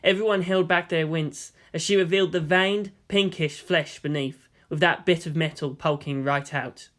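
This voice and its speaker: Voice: mid-range voice, fairly even intonation, normal speed, fairly bright tone. A narrator giving a scripted, dramatic reading of anime fan fiction. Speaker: audiobook narrator.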